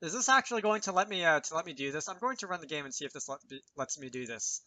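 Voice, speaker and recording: A man's voice: fairly high pitch, lively intonation, very fast, bright, forceful tone, no echo. A man presenting an informal programming tutorial, thinking aloud with self-deprecating asides as he explains. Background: keyboard typing and mouse clicks.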